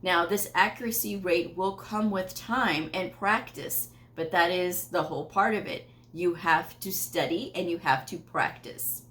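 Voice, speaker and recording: A woman's voice: lowish voice, a bit bright. A woman in her thirties, a medical coding educator, giving firm, emphatic career advice straight to camera from a small home office. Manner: firm, emphatic, repeats points with occasional laughs.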